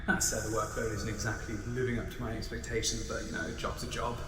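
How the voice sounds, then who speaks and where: A man's voice: on the low side, level tone, fast talker, reverberant, background noise, close to an everyday voice. A man playing a nervous, scatterbrained young starship security ensign in a sci-fi audio drama, stammering and apologetic. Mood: wry reflective. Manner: stammering, flustered.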